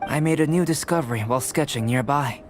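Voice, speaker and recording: A young male researcher from a fantasy video game, played by a man. He speaks at a middle pitch with calm, analytical precision and formal, detached composure. Music is clearly heard underneath. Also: fast talker, lightly acted. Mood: composed.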